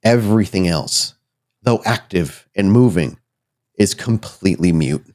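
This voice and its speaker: A man working as game master, improvising suspenseful, deliberate narration for a horror tabletop roleplaying game. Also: sits low, expressive, a little mellow. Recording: music behind the voice. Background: background music.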